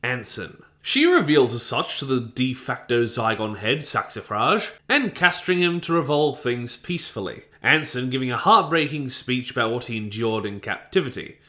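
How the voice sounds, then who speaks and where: A man talks through his review of an audio drama from a script. His pitch rises and falls a lot, leaping high on the words he wants to stress. His tone is clear, bright and a little sharp. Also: big pitch swings, moderate pace, few pauses.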